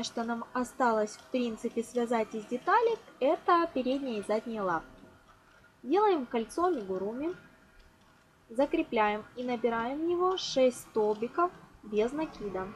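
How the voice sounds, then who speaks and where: A woman informally explaining a hands-on craft step by step, as a tutorial host. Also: fairly high pitch. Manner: fond of diminutives, explains step by step. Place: indoors at a table. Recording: noisy amateur home recording.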